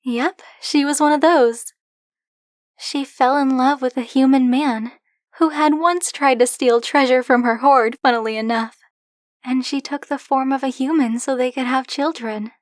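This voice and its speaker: A woman acts out a young dragon-blooded woman librarian with a high voice, recorded very dry and close. She is coy, teasing and possessive, talking straight to the listener as in an ASMR roleplay. Her delivery is conversational, candid and openly fond. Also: dry recording.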